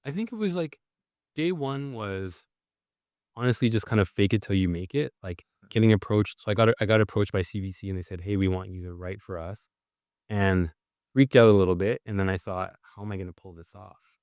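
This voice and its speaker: A man with a fairly low voice talks through his answers as a podcast guest, reflective and loose, circling back with fillers. The sound is dry and close, with no room around it. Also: fairly quick, darkish tone, no room sound. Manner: thoughtful, with many filler "like"s and restarts. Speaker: guest, writer and journalist. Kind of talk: conversational interview answers.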